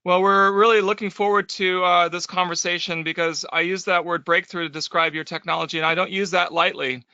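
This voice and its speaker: A man hosting a webinar interview in a measured way, on slightly dull band-limited audio. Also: high-pitched, fairly even intonation, sharp tone, clear, resonant tone, dry recording. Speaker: host. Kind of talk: webinar interview, scripted introduction then conversation.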